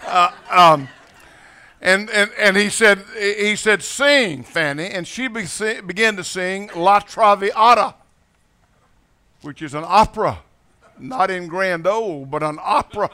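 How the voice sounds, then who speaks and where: A Southern preacher from Mississippi with a bright, somewhat high voice tells down-home jokes to a church crowd. He stumbles and restarts, repeats his words, and chuckles through his stories. His tone stays loose and folksy, like a guest in the pulpit. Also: unhurried. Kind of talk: folksy storytelling sermon with jokes. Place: church sanctuary with congregation.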